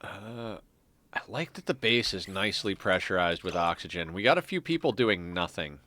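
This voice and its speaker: A man's voice: low voice, animated, normal speed, sharp tone. A man with a stuffy, congested nose giving casual gameplay commentary as a streamer, muttering to himself and mocking himself with frequent chuckles. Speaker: game streamer.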